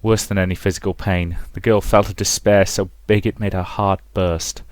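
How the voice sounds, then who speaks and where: A man's voice: sits low, flat delivery, moderate pace, no room sound. A man hosting a let's play, narrating a visual novel as a dramatic story. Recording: music behind the voice.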